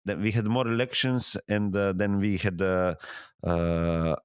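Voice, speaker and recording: A man in his thirties with a Serbian accent, speaking as a podcast guest. His voice is low and smooth, recorded very dry and close, and he keeps pausing on fillers and restarting his phrases. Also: fairly even intonation, no echo. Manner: halting, many fillers and restarts. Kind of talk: podcast interview.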